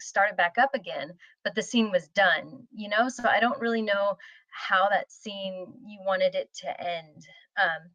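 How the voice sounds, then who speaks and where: Female, medium pitch, tentative but constructive workshop participant, informal delivery, dry lo-fi sound. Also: no room sound. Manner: conversational, tentative, gently constructive. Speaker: participant giving script notes.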